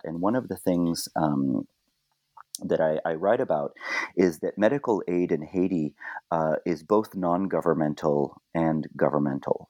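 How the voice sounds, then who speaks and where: Man, interviewee; very deep and dark, dry recording, thoughtful with many ums. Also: no echo. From Quebec. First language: French. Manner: measured, with frequent ums and restarts. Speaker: author guest.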